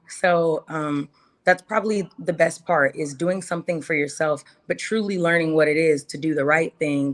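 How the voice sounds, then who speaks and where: A woman in her early thirties with a low voice speaks as a guest on an interview. She tells her own story in a casual, conversational way. She drives points home by repeating phrases and posing rhetorical questions.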